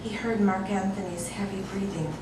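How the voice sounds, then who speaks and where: A woman's voice: medium pitch, steady tone, normal speed. A woman narrating a comic short story in a wry, amused way, often breaking into laughter. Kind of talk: audiobook story reading. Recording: echoey room, distant mic, noisy.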